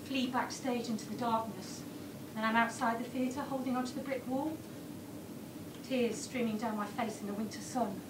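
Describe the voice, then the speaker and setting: Woman, stage storytelling, present tense, hushed, high voice, distant mic. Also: fairly even intonation, moderate pace. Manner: present-tense narration, often low and hushed. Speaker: performer. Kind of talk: first-person theatrical storytelling. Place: theatre stage. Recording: distant, echoey room with background noise.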